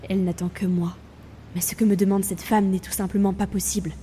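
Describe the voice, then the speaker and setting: A woman's voice: medium pitch, level tone, fairly quick, warm tone, a little hiss or hum, lightly acted. A woman playing Death as a weary reaper burdened by the role, in a grave, theatrical audio drama monologue. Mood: brooding, torn. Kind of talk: narrated audio fiction, dramatic first-person monologue. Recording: music behind the voice.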